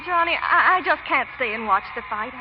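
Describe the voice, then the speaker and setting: A devoted, worried young fiancée in a vintage radio drama. Her voice is high and full of earnest feeling. It sounds muffled under clear broadcast noise. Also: expressive, brisk. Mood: anxious. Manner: earnest, emotional. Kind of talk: 1930s-40s radio acting.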